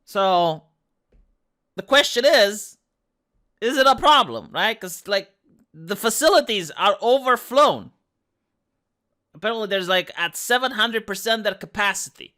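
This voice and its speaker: A woman with a Romanian accent and a squeaky voice, hosting sarcastic political commentary with rambling restarts. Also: lively intonation, measured pace, pauses often, sharp tone. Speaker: commentary channel host.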